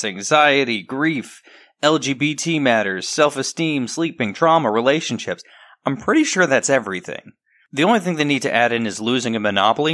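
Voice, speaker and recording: A man with a mid-pitched voice reads a podcast sponsor spot. He keeps it conversational, like a host talking directly to regular listeners. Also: a bit bright. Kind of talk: conversational ad read.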